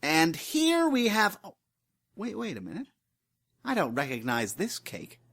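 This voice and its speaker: A woman's voice: lowish voice, wildly swooping pitch, a little slow, a bit bright, husky, dry recording, studio quality, full character voice. A woman playing an earnest, polite young village cook with a hesitant manner in a comic radio play. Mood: polite, flustered.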